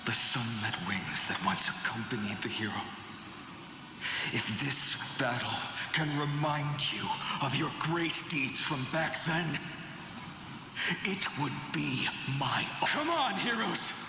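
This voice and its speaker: A man's voice: mid-range voice, lively intonation, takes it slowly. A man playing an earnest, heroic young warrior in an anime-style game, with a dramatic delivery. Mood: resolute. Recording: echoey reverb, game music behind.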